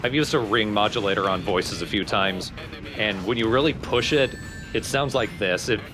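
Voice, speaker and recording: A man's voice: fairly low pitch, moderate pace. A man giving casual gaming commentary in a dry, explanatory way. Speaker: let's play co-host. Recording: voice over loud game music. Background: game music and sound effects.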